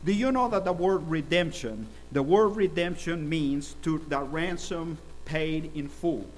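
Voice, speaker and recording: A middle-aged man from El Salvador preaches a sermon with a voice pitched somewhat high for a man. He tells stories at a steady pace and swells his volume for emphasis. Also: a little slow, a little hiss or hum, rough recording.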